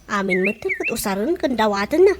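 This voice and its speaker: A woman's voice: fairly high pitch, sing-song, brisk, a little hiss or hum. A woman with an Ilocano accent playing an exasperated boarding-house resident who grumbles about a freeloading friend in a comedic radio drama. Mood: annoyed, ranting. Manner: grumbling, complaining, exclamatory.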